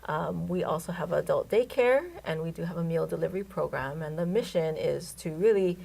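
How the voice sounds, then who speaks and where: A woman from Hawaii, a care home chief, giving measured interview answers about its history with frequent fillers. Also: sits low, gravelly, warm tone. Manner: measured, explanatory, many um fillers. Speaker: guest, care home CEO.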